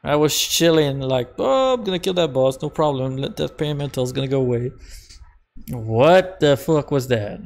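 A man's voice: fairly high pitch, lively intonation, moderate pace, vocal fry. A man in his thirties, a native French speaker with a Québécois accent, casually chatting and laughing at himself while streaming a game from a small room at home. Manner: rambling, self-mocking, chuckles often, repeats words. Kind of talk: casual live-stream commentary.

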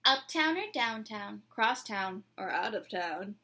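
A woman in her twenties reading a picture book aloud to children, with playful, deliberate, dramatic phrasing, recorded at home in a small room. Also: mid-range voice, lively intonation, bright, forceful tone. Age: 20s. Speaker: children's read-aloud presenter.

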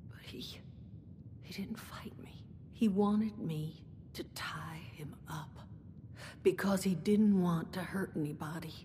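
A weary, firm, compassionate female boss from a video game. She talks slowly in a lowish voice with measured pauses, in a reverberant room with some noise. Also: darkish tone. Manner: measured, hesitant pauses, conversational.

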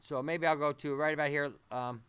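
Guy doing a screen tutorial, kinda high bright voice, talks haltingly while he figures stuff out. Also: steady tone, smooth. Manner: halting, thinking aloud as he works. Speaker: animation tutorial instructor. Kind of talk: unscripted software demonstration. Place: voice-over on a screen-recording tutorial.

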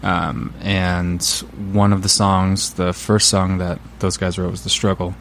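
A man from a band telling how the group formed, relaxed and full of fillers. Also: sits low, measured pace, slight background noise. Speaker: band member. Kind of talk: casual interview answers.

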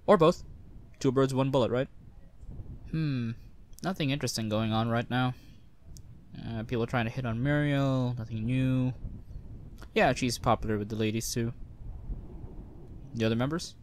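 A man's voice: mid-range voice, a little hiss or hum, close to an everyday voice. A man playing a cocky, easygoing veteran sci-fi spy, talking in a casual, wry, joking way in an audio roleplay. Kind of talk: conversational one-sided audio roleplay acting.

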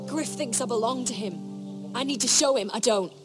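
Actress as worried noblewoman, fairly high voice, urgent, earnest, fantasy game drama, clear music behind. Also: normal speed, lightly acted. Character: anxious, proud noblewoman. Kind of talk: video game drama acting.

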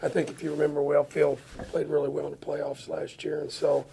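Very gravelly middle-aged male coach. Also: a little high, completely flat delivery, a little fast, mellow, slight background noise. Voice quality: raspy, gravelly. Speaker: college football head coach. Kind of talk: post-game press conference answers. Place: indoor press room, seated before a banner.

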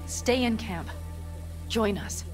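A woman's voice: medium pitch, lively intonation, slow pace, loud music behind the voice. A woman playing a blunt, loyal, caring young nomad in a video game. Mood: earnest. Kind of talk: video game dialogue acting.

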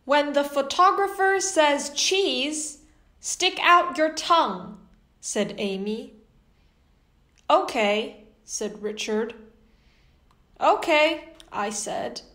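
A young woman reading a children's picture book aloud as host, in an animated, carefully enunciated way. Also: high-pitched, very slow, long pauses. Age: young adult. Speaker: host and children's story reader. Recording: echoey indoor room.

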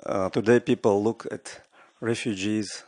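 A man's voice: lowish voice, steady tone, unhurried, darkish tone, dry recording. Man, middle-aged, earnest, conference floor comment, desk mic, dry. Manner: earnest, non-native phrasing, gestures while speaking. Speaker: audience member asking a question. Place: large conference room. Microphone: desk microphone, wearing headset.

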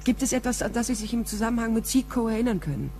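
A woman's voice: on the high side, lively intonation, racing, dark tone, a little hiss or hum. An actress playing a tough, persistent woman private detective in a crime radio play, dry, probing and direct. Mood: probing, insistent. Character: tough, persistent female private detective, dryly world-weary. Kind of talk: crime radio play acting and first-person narration.

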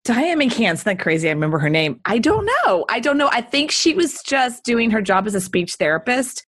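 Lively, laughing woman guest, dry sound. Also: a little high, a little fast, no room sound. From Texas. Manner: animated storyteller, laughs often. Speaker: guest entrepreneur. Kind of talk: casual interview chat.

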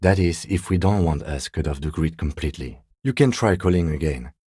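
A man playing a calm, dignified middle-aged police lieutenant in a video game, speaking in a measured, precise, deadpan way. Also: rumbling low, breathy edge, dry recording, studio quality. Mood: measured, matter-of-fact. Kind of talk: video game character dialogue.

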